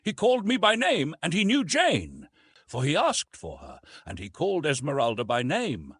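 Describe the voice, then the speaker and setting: A man voicing an earnest, sober gentleman with an urgent manner in an audiobook adventure. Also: fairly high pitch, huge pitch swings, moderate pace, slightly rough, no echo, pitched above the performer's own voice, heavily acted.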